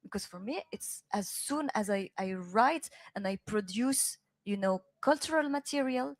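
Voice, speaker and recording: A woman's voice: mid-range voice, animated, measured pace. A woman poet answering a question on a panel. She searches for words and drops French phrases into her English. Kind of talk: panel discussion.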